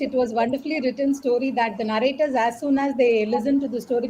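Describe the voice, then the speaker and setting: A woman's voice: high voice, darkish tone, slight background noise, low-quality recording. A woman with an Indian accent recounting her work as a guest in a panel discussion. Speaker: audio drama director, guest.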